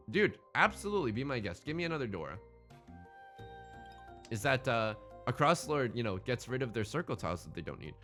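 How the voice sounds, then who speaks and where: A man's voice: medium pitch, sharp tone. A man hosting a gaming let's play in casual, bantering commentary, thinking aloud and often repeating his phrases.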